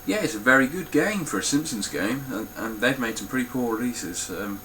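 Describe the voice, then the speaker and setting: A man's voice: mid-range voice, animated, brisk, pushed and bright, a little hiss or hum, poor audio. A man with a stuffy, nasal voice giving a casual, blunt spoken review of his game collection, with frequent ums and some swearing.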